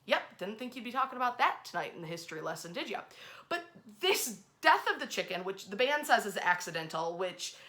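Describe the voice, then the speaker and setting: A woman's voice: medium pitch, big pitch swings, brisk, pushed and bright. A woman hosting an informal history storytelling session, speaking in a dramatic, conversational way with emphatic phrasing. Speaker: host, local history storyteller.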